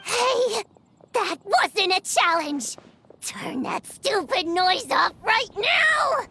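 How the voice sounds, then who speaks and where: A woman's voice: very high voice, sing-song, very slow, gravelly, bright, forceful tone, a little hiss or hum, heavily acted. A woman voicing a proud, hot-tempered young witch-hunter girl in a video game, scornful and combative. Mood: furious, indignant. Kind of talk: video game cutscene voice acting.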